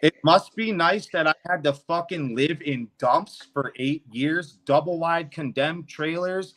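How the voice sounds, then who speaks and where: A man in his thirties talking casually and off the cuff, emphatic, boastful and quick to swear. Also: a little high, fairly bright tone. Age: 30s. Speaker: financial consultant and podcast speaker. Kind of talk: casual unscripted talk.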